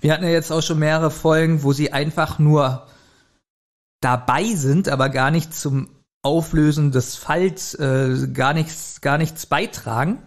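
A man's voice: a little high, steady tone, unhurried. A man, speaking casually as a podcast co-host giving a review.

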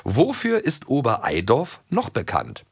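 A man's voice: mid-range voice, huge pitch swings, unhurried, husky. A man co-hosting an audio drama podcast in casual, jokey chat, now and then putting on voices for quoted lines. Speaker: podcast co-host.

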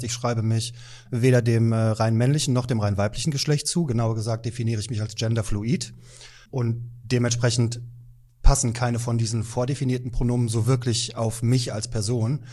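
A man's voice: medium pitch, a little mellow. A man in his forties explaining himself earnestly as a guest on an interview podcast, recorded indoors in a shop. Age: 40s. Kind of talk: conversational interview.

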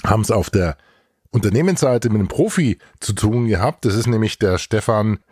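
A man's voice: on the low side, animated, normal speed, darkish tone, breathy edge, no room sound, studio quality. A man co-hosting a podcast in casual panel chat, with a joking, teasing way of talking. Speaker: podcast co-host.

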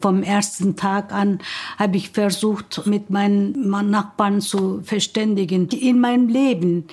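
A woman in her seventies, originally from Turkey, with a Turkish accent, recalling her life slowly and thoughtfully with frequent hesitations. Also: mid-range voice, steady tone, a little mellow. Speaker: interviewee. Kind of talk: personal recollection.

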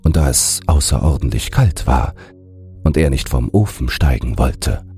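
A man's voice: very deep voice, animated, normal speed. A man narrating a scripted bedtime fairy tale as an audiobook reader. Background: soft music.

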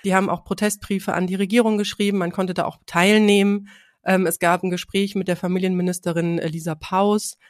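Brief: woman, slight cold, podcast co-host, explains step by step with many fillers, mid pitch, dry mic. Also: little pitch movement, fairly quick, no echo.

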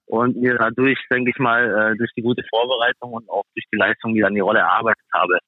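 A man giving casual, halting interview answers as a professional athlete guest. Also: lowish voice, fairly even intonation, husky, no room sound. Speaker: guest, professional ice hockey player. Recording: thin phone-like band-limited sound.

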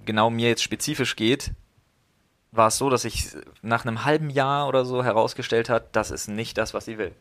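A man's voice: mid-range voice, fairly even intonation, brisk, fairly bright tone. A man co-hosting a casual podcast chat, talking bluntly with some swearing and acting out the things people say to him. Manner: blunt, swears freely, acts out quoted exchanges. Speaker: podcast co-host.

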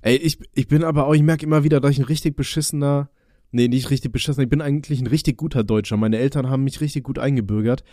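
A man's voice: medium pitch, steady tone, racing, mellow, no room sound, studio quality. A young man co-hosting a podcast, rambling casually with stammering restarts and jokes, getting over a cold. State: recovering from a cold. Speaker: podcast co-host. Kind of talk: casual chat.

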